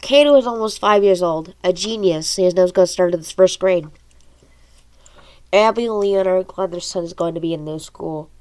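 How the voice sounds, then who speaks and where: A woman's voice: mid-range voice, darkish tone. A woman with a congested, allergy-stuffed nose reads her own fantasy story aloud as an amateur audiobook narrator, informal and stumbling over words now and then. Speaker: amateur audiobook reader and author.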